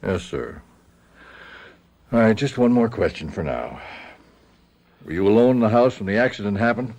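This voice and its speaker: An actor playing a polite, quietly suspicious police sergeant in a radio mystery drama, probing in an understated way. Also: male voice, lowish voice, expressive, moderate pace. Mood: politely probing.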